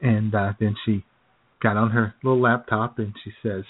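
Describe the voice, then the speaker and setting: A man's voice: lowish voice, level tone, moderate pace. Chuckling man on a lo-fi line. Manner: chuckles often, clears throat. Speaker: podcast co-host. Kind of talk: casual storytelling chat. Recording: phone-like lo-fi audio.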